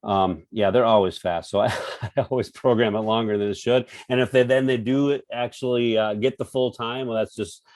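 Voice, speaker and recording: A man who is a coach, speaking in a relaxed, slightly hesitant way in a roundtable discussion. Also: mid-range voice, normal speed, hardly any pauses, no room sound, studio quality. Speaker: strength coach.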